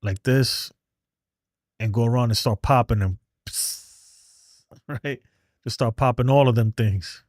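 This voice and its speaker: A man's voice: mid-range voice, takes it slowly, long pauses, a little mellow, no echo. A man chats bluntly on a livestream, reading viewers' messages aloud. His voice sits mid-range and sounds close and dry. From New York City. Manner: streetwise, blunt, reads chat aloud. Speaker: livestream host. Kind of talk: casual livestream chat. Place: home in Texas.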